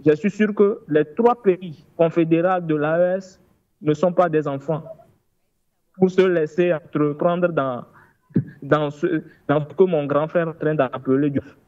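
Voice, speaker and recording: A man's voice: fairly high pitch, fairly even intonation, a little slow, a little mellow, no echo, lo-fi sound. A man in his thirties calling in to a political talk show, speaking with insistent, emphatic repetition. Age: 30s. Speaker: caller. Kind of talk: impassioned political call-in.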